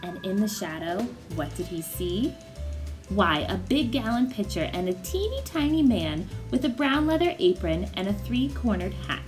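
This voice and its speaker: Female children's storyteller, mid-pitched, expressive and animated read-aloud. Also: moderate pace, soft background music.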